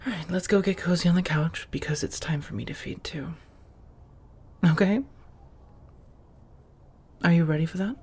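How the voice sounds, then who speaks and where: A woman playing an affectionate, teasing vampire roommate in a soft-spoken ASMR roleplay, breathy and intimate, often half-whispering. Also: sits low. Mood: coaxing, tender. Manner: intimate, teasing, coaxing with pet names.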